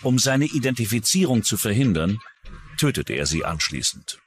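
A man's voice: medium pitch, sing-song, moderate pace, a little hiss or hum. A man reading scripted narration for a true-crime documentary.

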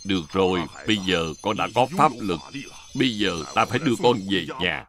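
A man's voice: low voice, fairly quick, a bit bright. A man voicing a stern, dutiful stone guardian lion in a children's cartoon, solemn and commanding. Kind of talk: dubbed children's cartoon acting.

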